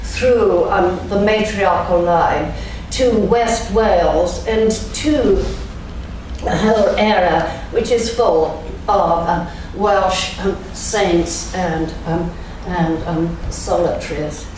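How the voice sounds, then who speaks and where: An older Englishwoman gives a slow, reflective lecture and often pauses to search for words. Her voice booms around the vast church because the mic sits well away from her, and a faint hiss runs beneath her speech. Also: darkish tone. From England. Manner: slow, thoughtful delivery with frequent ums. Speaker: guest lecturer. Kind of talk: church lunchtime lecture, reading quotations aloud. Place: large church. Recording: very echoey church, speaker heard from a distance, faint background noise.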